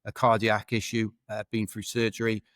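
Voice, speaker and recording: Low, flat-voiced man. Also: normal speed, no room sound, clean studio sound. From Wales. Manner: rambling, self-correcting, chuckles while telling stories. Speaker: guest. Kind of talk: casual podcast interview.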